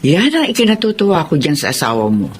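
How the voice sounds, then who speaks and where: A woman's voice: sits low, huge pitch swings, quick pace, a little hiss or hum. A woman playing a chatty, gossipy family friend in a radio drama.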